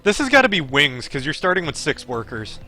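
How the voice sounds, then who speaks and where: A man's voice: fairly high pitch, sing-song, brisk, sharp tone. A man streaming a game, reading story text aloud and breaking off into chatty, digressive commentary. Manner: chatty, digressive asides while reading narration. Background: game music.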